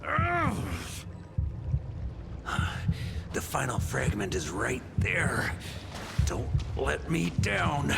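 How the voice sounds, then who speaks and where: An actor playing a bold, hearty veteran knight commander in a video game, confident and rousing. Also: male voice, fairly high pitch, huge pitch swings, takes it slowly, vocal fry, very noisy, heavily acted. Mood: strained. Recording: very loud game music behind.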